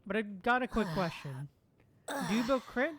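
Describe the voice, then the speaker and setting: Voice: medium pitch, animated, normal speed, a bit bright, no echo. A livestream host chatting casually while gaming, often repeating phrases in reply to chat. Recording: music playing behind the voice.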